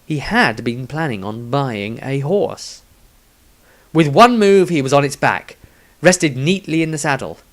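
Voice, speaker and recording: A man's voice: medium pitch, lively intonation, a little slow. Man narrating a fantasy tale. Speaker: author reading own story. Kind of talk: scripted fantasy story narration.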